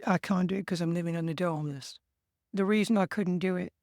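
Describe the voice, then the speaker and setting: A man from England with an English accent, co-hosting a casual chat and giving steady, earnest encouragement. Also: high voice, quick pace, dry recording, clean studio sound. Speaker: co-host.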